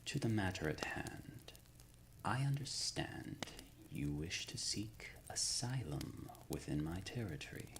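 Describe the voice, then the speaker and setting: A man playing a courteous, shrewd, ancient supernatural lord in an ASMR roleplay, speaking softly and formally, often near a whisper. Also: low-pitched, sing-song, creaky, exaggerated voice. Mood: stern, measured. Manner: formal, measured, erudite wording with deliberate pauses. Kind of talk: ASMR roleplay, intimate scripted monologue.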